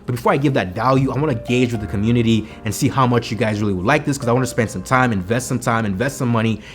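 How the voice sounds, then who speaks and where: A man's voice: medium pitch, fast, soft background music. A man with a Kenyan accent, vlogging about business with energy, repeating himself and asking "right?" to his viewers. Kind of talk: motivational business vlog talk. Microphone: camera mic on a home video set.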